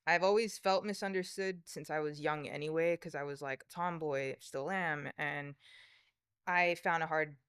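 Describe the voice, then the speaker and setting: A woman's voice: deep voice, steady tone, moderate pace, a bit bright, clean tone, dry recording, studio quality. A woman talking casually about herself as a guest on a podcast. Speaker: podcast guest, tour manager and producer. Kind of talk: casual conversational interview.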